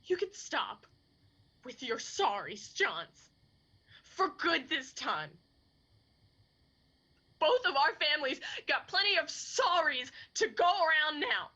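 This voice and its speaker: High-pitched, bitter Appalachian young woman. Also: very animated, slow, pauses often, pushed and bright, exaggerated voice. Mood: bitter grief. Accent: Appalachian American. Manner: anguished, folksy, emphatic. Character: wounded, bitter young Appalachian woman spurning her lover. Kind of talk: radio drama acting.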